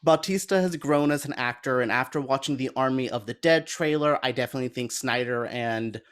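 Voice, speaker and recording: An enthusiastic male podcast panelist in casual conversation. His voice sits at an average pitch as he pitches his opinions on films and games. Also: brisk, clear, resonant tone.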